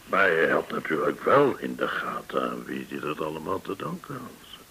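An actor playing a courteous, shrewd, well-connected informant in a Dutch radio drama, speaking in a formal, deliberate way. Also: male voice, very deep voice, very animated, vocal fry, bright, forceful tone, full character voice. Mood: measured explaining. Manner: formal, measured, deliberate explaining. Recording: hissy old radio recording.

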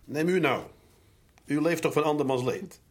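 A man playing a gruff, cynical working-class father. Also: fairly high pitch, normal speed, natural voice. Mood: irritated, combative. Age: middle-aged. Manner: curt, sarcastic, grumbling. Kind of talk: naturalistic Dutch radio drama acting.

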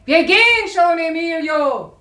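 A sharp-tongued yet wistful woman in a tavern, theatrical in an old radio-play way. Her voice is high and echoey, over faint noise. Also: lively intonation, measured pace. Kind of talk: 1960s German radio drama acting.

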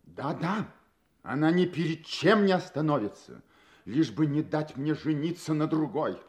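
A man's voice: fairly high pitch, animated, unhurried. A man acting an anxious, proud royal client in an audio drama, speaking formally and with earnest emphasis. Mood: agitated.

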